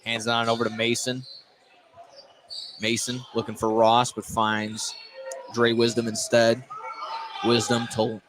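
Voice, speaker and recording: A man delivers live basketball play-by-play for radio at a middling pitch. He is in an indoor gymnasium, with clear noise all around his voice. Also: monotone, a little slow, pauses often. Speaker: play-by-play sports announcer. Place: indoor school gymnasium during a game. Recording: noisy gym, loud background noise.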